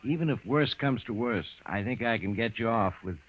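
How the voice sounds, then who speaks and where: An actor playing a shrewd, defensive gangster's lawyer in an old radio drama, explaining things emphatically and placatingly. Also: male voice, mid-range voice, lively intonation, normal speed, heavy background noise, lightly acted. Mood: placating, scheming. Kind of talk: old-time radio crime drama acting. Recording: muffled old radio recording.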